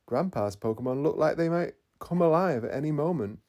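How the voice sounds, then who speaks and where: A man's voice: a little high, expressive, fairly quick, mellow, voice pushed up, lightly acted. A man reading a storybook, voicing a downcast, self-doubting young craftsman. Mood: wistful.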